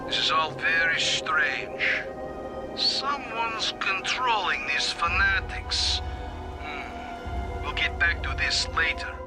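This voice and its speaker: A grim field commander in a video game. He is authoritative and very slow, in a high voice, with loud music behind. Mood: grim, deliberate. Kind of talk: scripted video game dialogue.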